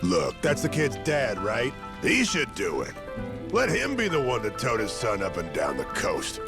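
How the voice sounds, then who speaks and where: A man playing a blunt, hot-tempered veteran Yakuza in a video game, gravelly and confrontational. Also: mid-range voice, wildly swooping pitch, unhurried, music in the background, full character voice. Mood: scolding. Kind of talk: video game cutscene acting.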